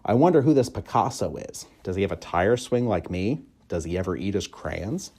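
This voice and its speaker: Low, mellow man reading a story. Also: expressive, moderate pace, breathy edge. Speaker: audiobook reader, school staff member. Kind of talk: expressive storybook narration, first person.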